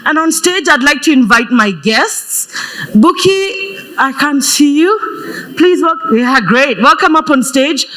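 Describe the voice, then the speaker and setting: A woman from Kenya moderating a live panel on a conference stage, talking loud and playful with frequent laughs. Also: high voice, lively intonation, unhurried. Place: conference stage before an audience. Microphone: handheld stage mic.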